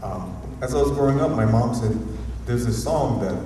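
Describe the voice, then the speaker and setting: A man's voice: mid-range voice, normal speed, dark tone. Man with a Hawaiian accent in an echoey hall. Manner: informal, rambling, chuckling often. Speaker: university professor, speaker. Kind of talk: unscripted talk at a community forum. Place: museum auditorium. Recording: echoey hall, distant mic, faint noise.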